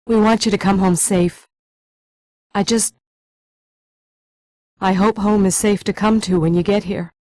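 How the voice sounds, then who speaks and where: An actress playing an earnest, worried aunt of a soldier, speaking emotionally in a game news segment. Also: female voice, medium pitch, steady tone, measured pace, pauses often, a little mellow, no room sound, lightly acted. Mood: worried, tender. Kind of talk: video game news vox pop acting.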